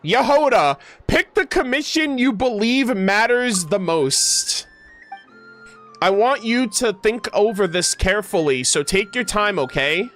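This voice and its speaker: A man reads the part of a composed woman in charge in a video game. The delivery is formal and measured, polite but firm, with music playing behind. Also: high voice, lively intonation, a bit bright.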